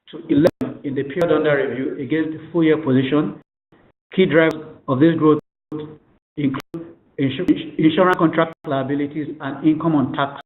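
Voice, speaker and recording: Male executive, slightly high voice, formal and halting scripted presentation over a thin phone conference line. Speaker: company executive presenting financial results. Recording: tinny, echoey telephone conference line.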